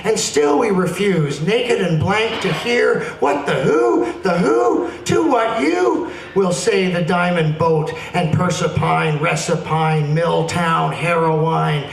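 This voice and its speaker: A man's voice: high-pitched, gravelly. A man giving a playful, dramatic spoken-word performance, reading aloud in a casual live setting. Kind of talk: animated live poetry reading with casual introductions. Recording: roomy, distant mic with faint noise.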